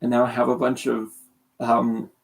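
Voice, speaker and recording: A man's voice: mid-range voice, monotone, moderate pace, vocal fry, poor audio. A young man from Canada in his late teens, with a sore throat and half asleep, rambling through casual livestream chat and correcting himself as he goes. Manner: rambling, self-deprecating, many restarts. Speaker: streamer.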